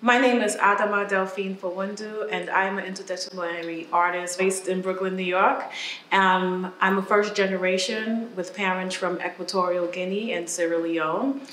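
A woman's voice: medium pitch, steady tone, normal speed, a bit bright. American woman in an echoey room. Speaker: interdisciplinary artist, panelist. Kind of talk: self-introduction on a panel. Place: gallery room, indoors. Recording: echoey room, faint background noise.

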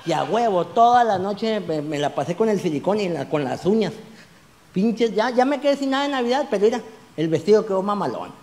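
Animated comedian with a high voice. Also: male voice, brisk, big-room sound. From Mexico. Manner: animated, gesturing broadly, crude slang, rising to shouts on punchlines. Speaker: stand-up comedian. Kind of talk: stand-up comedy routine. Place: small club stage with audience. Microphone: handheld mic.

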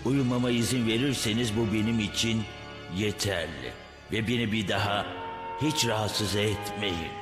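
Cartoon dragon, male actor: aged, haughty and grudging, lowish voice in a cavernous reverb. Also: normal speed, natural voice. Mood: dismissive. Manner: imperious, weighty. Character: old, proud, grudging red dragon. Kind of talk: dubbed cartoon acting. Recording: cavernous echo with music behind.

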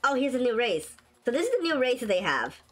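Raspy-voiced excitable gaming host. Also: female voice, on the high side, fast talker. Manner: excitable, shrieks and laughs at wins and deaths. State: sick, sore throat. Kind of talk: casual gameplay commentary. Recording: faint game music behind.

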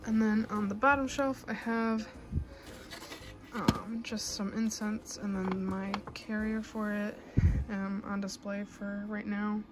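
A woman's voice: mid-range voice, fairly even intonation, slow pace, noisy background. A young adult woman chatting casually as a vlogger in a bedroom at home. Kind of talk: casual vlog chat.

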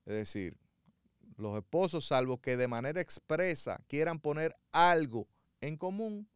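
Male legal commentator, fairly high voice, very clear and crisp, dry close sound, didactic, measured delivery. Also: animated, no echo. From Dominican Republic. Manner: measured, didactic, with frequent fillers and restarts.

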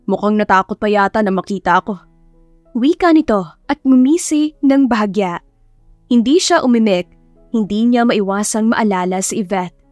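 A woman's voice: a little high, no echo. A woman with a Filipino accent reading a romance story as scripted, dramatic audiobook narration. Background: soft background music.

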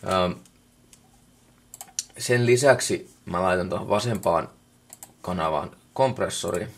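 A Finnish man giving a casual, unscripted software walkthrough in a colloquial way, muttering asides to himself, recorded on a camera microphone indoors. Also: sits low, creaky. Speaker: music production tutorial maker. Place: indoors at a computer workstation.

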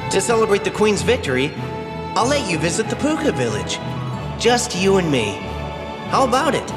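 A flirtatious little creature merchant for a fantasy game. The voice is high, quick and lively as it coaxes. Loud music plays underneath. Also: male voice. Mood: coaxing. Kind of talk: scripted video game voice acting.

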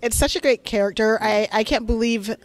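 A woman's voice: fairly high pitch, fairly quick, no echo. A woman interviewing an actor on a convention press line, asking eager, complimentary questions into a handheld mic. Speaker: entertainment interviewer.

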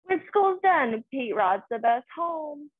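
A young woman reads a children's story aloud. Her voice sits high and she takes her time, with a sing-song lilt made for little listeners. The sound is thin and narrow, much like a phone. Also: big pitch swings, takes it slowly. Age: young adult. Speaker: story reader. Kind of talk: children's picture book read-aloud.

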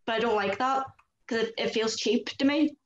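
A woman's voice: on the high side, moderate pace, reverberant, dull audio. A young Northern Irish woman hosting a casual panel chat over a video call, chatty with lots of "like". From Northern Ireland. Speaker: host. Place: small room indoors.

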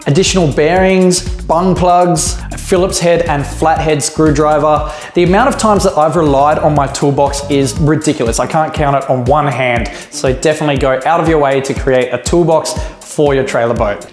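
A man's voice: on the high side, darkish tone. Upbeat Australian man in an echoey garage. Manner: upbeat, talks with his hands. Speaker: fishing channel host. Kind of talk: fishing tips vlog to camera.

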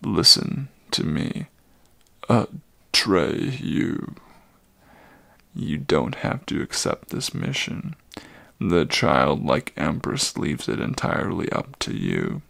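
A man voicing a very old, weary male centaur with a deep, gravelly voice, pleading slowly and heavily in a dramatic storybook reading. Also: huge pitch swings, pushed and bright, dry recording, full character voice. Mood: urgent, weary. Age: older.

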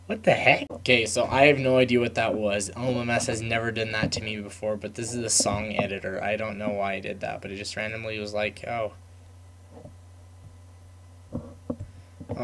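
A young man giving a casual, unscripted software tutorial, rambling and trailing off mid-thought in an informal way. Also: lowish voice, a little hiss or hum.

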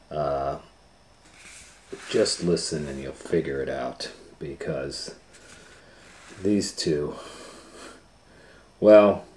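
A middle-aged man hosts a storytime in a low voice. He reads at a slow pace, informally and with casual asides. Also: a little mellow, roomy sound, a little hiss or hum. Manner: unhurried, informal. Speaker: storytime host and reader. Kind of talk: casual children's story reading. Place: small plain room indoors.

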